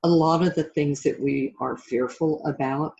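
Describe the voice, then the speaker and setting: A woman's voice: sits low, fairly even intonation, moderate pace, creaky, a little mellow, muffled recording. A middle-aged woman being interviewed as a life coach and author, talking reflectively and conversationally in an encouraging way.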